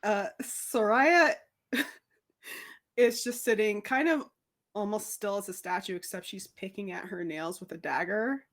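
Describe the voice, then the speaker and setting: A woman's voice: mid-range voice, measured pace, a bit bright. A woman chatting casually as a player in a roleplay game. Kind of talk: casual chat.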